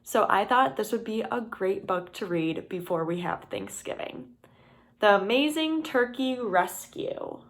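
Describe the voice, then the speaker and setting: A woman teacher reading a picture book aloud to young children in a deliberate, expressive storytelling way. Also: medium pitch.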